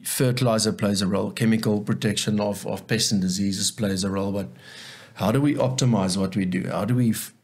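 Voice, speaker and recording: A man's voice: lowish voice, steady tone, a little fast, darkish tone, husky. A South African man whose first language is Afrikaans, speaking earnestly as a guest in a casual interview and often repeating words as he thinks. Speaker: guest, agronomist.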